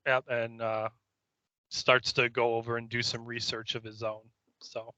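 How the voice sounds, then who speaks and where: A man's voice: mid-range voice, flat delivery, unhurried, pushed and bright, no room sound. A man co-hosting a podcast, chatting casually in short, conversational remarks. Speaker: podcast co-host. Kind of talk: casual chat. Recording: slightly dull, dry close recording.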